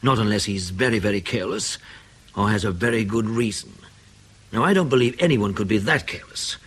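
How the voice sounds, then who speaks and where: An actor playing a wry, insolent, self-assured intelligence officer in a radio drama, laconic and needling. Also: male voice, on the low side, a little fast, a bit bright, a little hiss or hum, natural voice.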